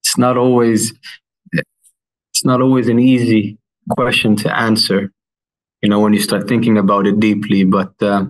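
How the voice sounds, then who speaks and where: A man in his thirties from Finland, answering interview questions thoughtfully and pausing often with fillers. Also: fairly low pitch, flat delivery, rough recording. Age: 30s. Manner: thoughtful, with many fillers and restarts. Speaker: guest.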